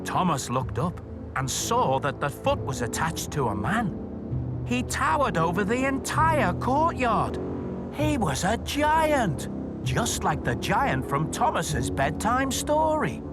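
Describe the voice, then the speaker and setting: Animated male children's storyteller over music. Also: high-pitched, slow pace. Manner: expressive, addressing young listeners directly. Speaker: storytelling host of a children's show, station worker persona.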